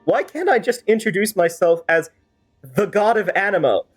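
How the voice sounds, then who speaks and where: A man with a notably high-pitched voice commentates on a game stream. He rambles in a chatty, casual way and drifts into playful role-play. Game music plays behind him. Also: lively intonation, normal speed, husky. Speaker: livestreamer.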